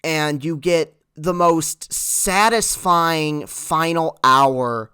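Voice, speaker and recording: A man reviewing a movie in casual, earnest talk, halting and repeating words as he goes. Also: a little high, sharp tone, clear, resonant tone, dry recording, studio quality. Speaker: film reviewer.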